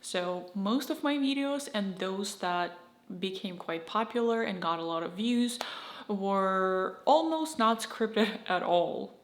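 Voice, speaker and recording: A woman with a Russian accent talks to camera in a candid, reflective vlog monologue. Her voice sits at an ordinary middle pitch. Her phrasing comes in slightly choppy pieces, and the room gives it a noticeable echo. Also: expressive, slow. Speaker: YouTuber. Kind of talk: scripted personal vlog monologue. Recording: roomy echo.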